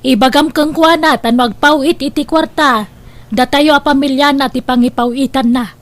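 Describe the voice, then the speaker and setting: A woman's voice: sits high, fairly even intonation, normal speed, close to an everyday voice. A woman playing a money-minded, scheming mother in an Ilocano family drama. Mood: calculating, insistent. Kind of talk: Ilocano radio-style family drama acting.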